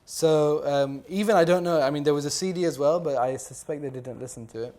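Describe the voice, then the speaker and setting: A man's voice: fairly high pitch, fairly quick, darkish tone. Hesitant man speaking at a panel talk. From Jordan. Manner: hesitant, many fillers and restarts. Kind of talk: reflective panel conversation.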